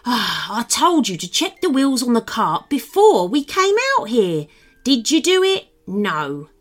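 A woman's voice: high voice, very animated, measured pace, a bit bright, soft background music, pitched above the performer's own voice, exaggerated voice. A woman voicing a grumpy older male gnome in a children's story, sarcastic and exasperated as he snaps. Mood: exasperated, scolding.

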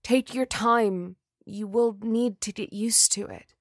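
A woman voicing an obsessive man's ghost who pleads softly and imploringly. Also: on the high side, steady tone, takes it slowly, dry recording, clean studio sound. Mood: pleading. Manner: soft, imploring.

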